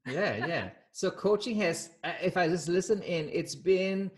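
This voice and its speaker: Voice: medium pitch, a little slow. A show host chatting casually and upbeat, joking and exclaiming in reaction to the guest. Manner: jokey, laughs often, exclaims reactions. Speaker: host of a coaching interview show. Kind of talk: casual upbeat interview chat.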